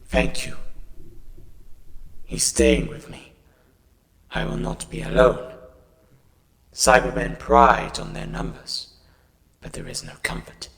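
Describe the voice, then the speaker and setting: A man playing a dying, mournful Cyberman in a fan audio drama, speaking in halting, solemn phrases with a gravelly robotic monotone. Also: medium pitch, exaggerated voice. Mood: solemn reflection. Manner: slow, halting, solemn delivery in short phrases. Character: dying Cyberman, damaged male cyborg, mournful and pleading. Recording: long reverb, music underneath.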